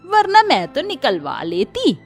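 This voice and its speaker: A woman's voice: sits high, wildly swooping pitch, a little slow, exaggerated voice. A woman voicing a domineering, spiteful middle-aged mother-in-law in a cartoon, scolding and taunting. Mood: scornful. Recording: music under the voice.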